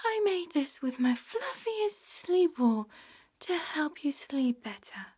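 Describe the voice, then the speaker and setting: A woman voicing a young, lonely female sheep from a bedtime story, in a high sweet sing-song voice. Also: big pitch swings, slow, a little mellow, heavily acted. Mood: gentle. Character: young weepy lost female sleep sheep.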